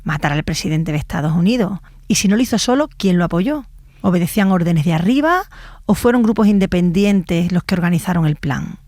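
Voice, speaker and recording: A woman's voice: lowish voice, darkish tone, no room sound. A woman with an accent from Spain, hosting a podcast with scripted documentary narration. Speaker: podcast host, journalist.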